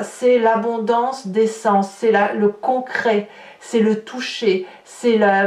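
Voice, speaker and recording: A woman giving an unscripted tarot reading as a guidance monologue, halting and often restarting as she searches for words. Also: a little high, darkish tone. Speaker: tarot card reader. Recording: roomy echo, distant from the mic.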